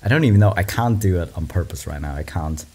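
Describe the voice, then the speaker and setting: Guy, lively swoopy voice, reacting to memes, rambly and chill. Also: low-pitched, fast talker. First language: German. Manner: rambling, animated, laughs often, swears. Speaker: video creator reacting to memes. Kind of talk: casual meme reaction chat.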